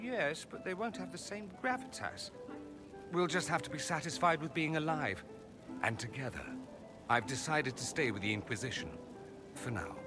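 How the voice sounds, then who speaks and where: Witty aristocratic mage played by a man, lively intonation. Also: fairly high pitch, normal speed, over music, lightly acted. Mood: wry relief. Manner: wry, eloquent, drawling irony. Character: witty, theatrical, aristocratic mage, fond and sardonic lover. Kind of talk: video game voice acting.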